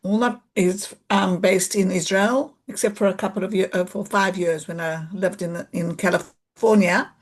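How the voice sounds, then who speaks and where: A woman's voice: medium pitch, fairly even intonation, moderate pace, hardly any pauses. South African woman, 50s, informal therapist presenting on a video call; chatty, loose, often restarts. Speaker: occupational therapist giving a talk.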